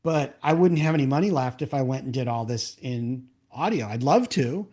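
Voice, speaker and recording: A man's voice: medium pitch, expressive, normal speed, warm tone. A man hosting a conversational interview, talking in a plain-spoken, explanatory way. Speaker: podcast host.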